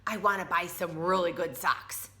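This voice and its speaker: A woman's voice: lowish voice, moderate pace, pushed and bright. A woman voicing an eager, stubborn little girl from a storybook, exclaiming emphatically.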